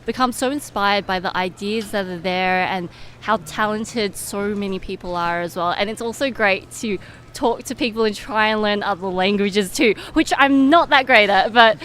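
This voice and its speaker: A woman's voice: mid-range voice, normal speed, a bit bright. A young woman giving informal, explanatory answers as a guest in an interview. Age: young adult. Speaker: interview guest, medical student and competition winner. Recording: clear background noise and ambience.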